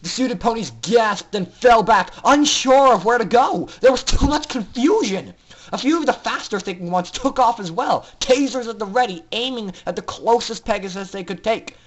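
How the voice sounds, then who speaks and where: A man's voice: very high voice, animated, moderate pace, low-quality recording. A man narrating fan fiction in a theatrical, intense style, as a dramatic story reader.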